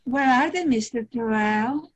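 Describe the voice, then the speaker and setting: A woman's voice: fairly high pitch, a little slow, slightly rough. A woman playing an eager, tender-hearted little girl in a family radio drama. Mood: concerned. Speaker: actor in a radio play.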